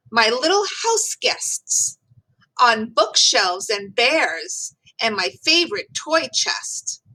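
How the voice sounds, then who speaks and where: A woman with a high, bright voice reads aloud as a teacher would for young children. She takes her time, slow and deliberate, giving each phrase expressive, clearly marked emphasis. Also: poor audio. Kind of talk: expressive children's read-aloud of rhyming poem and facts.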